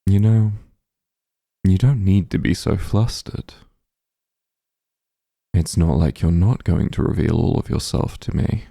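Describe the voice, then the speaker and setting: A man playing a possessive, doting immortal demon lord in an intimate ASMR roleplay, teasing and smug, speaking softly in a gravelly, breathy voice. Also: sits low, no echo, clean studio sound, exaggerated voice. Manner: slow, soft, teasing coaxing.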